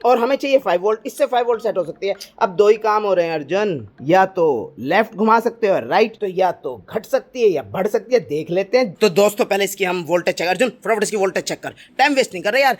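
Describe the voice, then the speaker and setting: A man's voice: high-pitched, animated, fast, few pauses. A middle-aged Indian man talking casually and thinking aloud as he hosts a hands-on family DIY vlog, coaxing as he goes. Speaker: father hosting a family DIY electronics vlog. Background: music.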